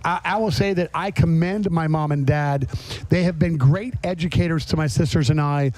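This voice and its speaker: A man's voice: a little high, normal speed, a little mellow. A man hosting a podcast in a casual, folksy way, often interjecting and repeating his phrases. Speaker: podcast host. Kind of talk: casual live chat.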